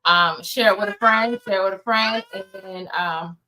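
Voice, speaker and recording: A woman's voice: mid-range voice, moderate pace, sharp tone, a little hiss or hum, poor audio. An American woman talking casually as an interview guest, informal and emphatic, repeating words for emphasis. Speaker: interview guest, playwright and producer.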